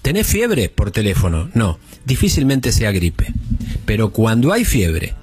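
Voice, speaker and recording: Middle-aged Argentine doctor, slightly husky, calm and didactic, low-key music bed. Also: male voice, measured pace. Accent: Argentine Spanish. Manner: calm, didactic, explains at length. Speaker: doctor guest. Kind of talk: explanatory radio chat.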